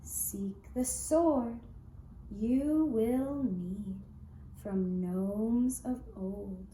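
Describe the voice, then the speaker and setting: A woman voicing a solemn, encouraging archangel in measured rhyming verse for a puppet show. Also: a little high, dark tone, a little hiss or hum. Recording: roomy, echoey sound.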